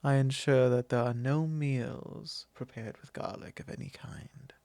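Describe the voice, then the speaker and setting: A man's voice: medium pitch, fairly even intonation, dry recording, lightly acted. A man playing a possessive, courtly vampire lord in an ASMR roleplay, speaking formally and condescendingly in an intimate monologue. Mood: smug, possessive. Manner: formal, unhurried, condescending, with soft chuckles.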